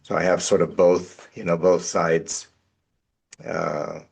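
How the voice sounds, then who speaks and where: A man with a very low voice and an American accent, speaking over a video call from his home office. He talks in a measured way, often repeating a word while he looks for the right phrase. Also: little pitch movement, vocal fry, a little mellow, husky. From Israel. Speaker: potential client. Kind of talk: casual video call conversation.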